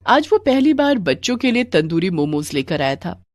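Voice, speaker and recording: A woman's voice: lowish voice, very animated, normal speed, no echo, studio quality. Woman narrating a moral story.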